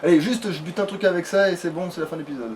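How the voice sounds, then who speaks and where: A fairly high-voiced man chats casually through a video game as he plays, loosely and with swearing. He sits away from the mic, with some noise around him. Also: rapid-fire, darkish tone. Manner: informal, swearing. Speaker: let's player. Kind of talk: casual gameplay commentary. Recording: distant mic, clear background noise.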